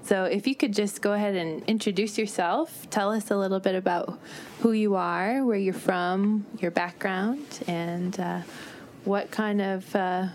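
A woman hosting a conversational interview, speaking in a searching, hesitant way. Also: medium pitch. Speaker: podcast host. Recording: clear steady background noise.

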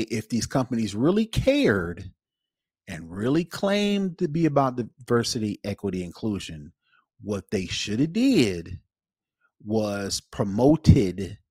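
A man co-hosting a podcast, giving his opinions casually in an emphatic, halting way. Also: medium pitch, big pitch swings, darkish tone, breathy edge, no echo, studio quality. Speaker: podcast co-host.

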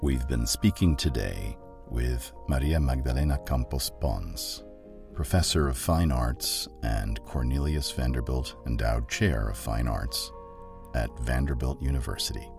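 A man hosting an arts interview program, closing the show in a measured, formal way. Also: rumbling low, vocal fry, over music. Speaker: podcast host. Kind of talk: radio-style interview sign-off.

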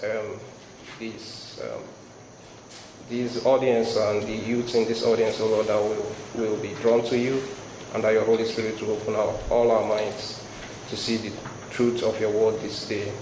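A man with a Nigerian accent preaches to a youth gathering, halting often on ums and false starts. His voice sits at an ordinary pitch but stays fairly flat in melody. The sound carries a roomy echo with clear background noise. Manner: hesitant, frequent ums and restarts. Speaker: guest speaker. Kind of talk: sermon with scripture reading and prayer. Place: large hall before a youth crowd. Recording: echoey room with noise.

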